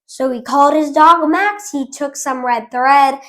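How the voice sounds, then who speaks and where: A woman's voice: sits high, measured pace, a bit bright. A woman hosting a storytime channel, chatting with viewers and reading a picture book aloud. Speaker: storytime host.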